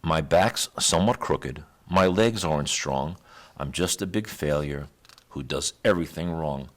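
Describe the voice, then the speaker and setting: A man's voice: a bass voice, measured pace. A man voicing a small, weak young donkey in a storybook, frightened, pleading and full of self-doubt. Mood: dismayed, hopeless. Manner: pleading, doleful.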